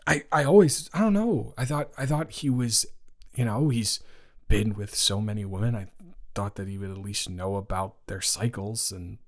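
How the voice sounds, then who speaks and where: Man acting as halting, indignant young best friend. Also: lowish voice, natural voice. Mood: hesitant, concerned. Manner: halting, stammering, informal, repeats himself. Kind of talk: improvised romantic audio roleplay, casual conversational.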